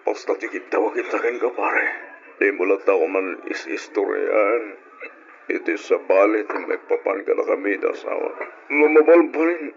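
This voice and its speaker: Gravelly troubled husband in radio drama. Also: male voice, fairly low pitch, normal speed. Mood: anguished, bitter. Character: troubled, aggrieved husband and father confiding his heartache. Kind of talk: Ilocano radio drama acting. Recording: dull, noisy old radio recording.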